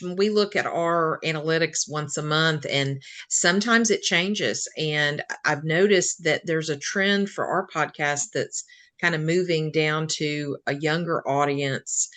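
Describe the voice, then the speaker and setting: A woman's voice: sits low, a bit bright. An American woman co-hosting a podcast interview in a casual, chatty and informal way. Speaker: podcast co-host, English teacher.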